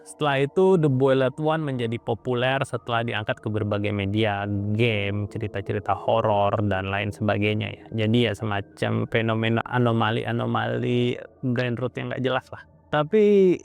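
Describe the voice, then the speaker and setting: A man's voice: medium pitch, steady tone, normal speed, creaky. A man narrating an explainer as a YouTube host, informal and slangy in his wording. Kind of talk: informal explainer narration about internet horror.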